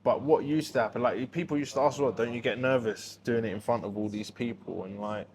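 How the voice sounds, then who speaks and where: A man's voice: mid-range voice, brisk, darkish tone. A British man telling anecdotes as an interview guest, conversational and slangy, acting out remembered exchanges. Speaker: guest, boxing MC recalling his career. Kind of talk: casual podcast interview anecdotes. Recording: noisy background with ambient sound.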